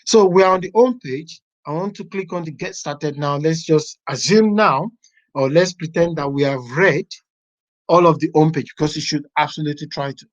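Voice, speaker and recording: A software testing teacher walking a class through a website step by step, thinking aloud as they browse. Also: medium pitch, moderate pace. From Nigeria. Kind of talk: live class walkthrough. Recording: dull lo-fi online class audio.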